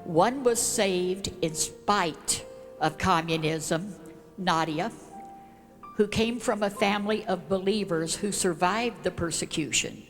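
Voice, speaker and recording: A woman giving a measured, formal introduction in a prepared speech to a congregation. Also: fairly low pitch. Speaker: church class leader introducing speakers. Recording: echoey hall, long reverb, music.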